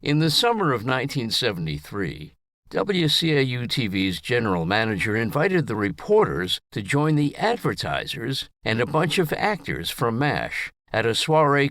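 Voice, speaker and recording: Older man narrating with lively intonation. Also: mid-range voice, no echo, studio quality. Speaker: essayist reading own story. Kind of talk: scripted personal narration.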